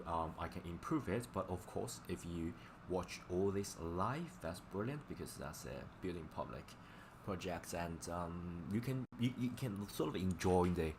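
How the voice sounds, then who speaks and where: Deep-voiced, halting male streamer, native Chinese speaker. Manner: halting, repetitive delivery. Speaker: language teacher live-streamer. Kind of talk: casual livestream chat. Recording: clearly noisy livestream audio.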